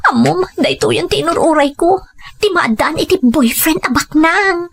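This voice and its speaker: A woman's voice: high-pitched, animated, moderate pace, gravelly. A woman playing an ambitious young woman tired of poverty, firm yet apologetic, in an Ilocano radio drama. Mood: confiding.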